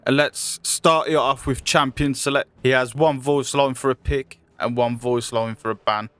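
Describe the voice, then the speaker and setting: A man's voice: mid-range voice, steady tone, normal speed, a bit bright, no echo. A man presenting a game character showcase in a scripted promotional narration style.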